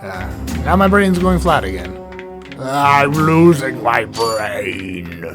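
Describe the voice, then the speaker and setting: Hoarse man rambling over game music. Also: medium pitch, very animated, slow pace. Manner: rambling, stuttering, self-correcting. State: hoarse from illness. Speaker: let's play gamer. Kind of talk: casual gameplay commentary.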